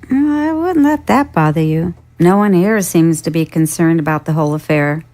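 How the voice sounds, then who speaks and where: A woman's voice: low voice, lively intonation, moderate pace, darkish tone. A woman playing a prim, devoted old family nursemaid in an audio drama, soothing and dutiful. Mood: reassuring.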